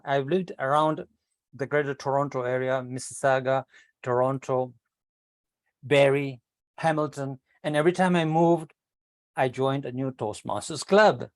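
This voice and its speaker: An older man from Kenya giving a prepared speech at an online public speaking club, telling his story with rhetorical questions and addressing the audience as ladies and gentlemen. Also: fairly high pitch. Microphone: video call.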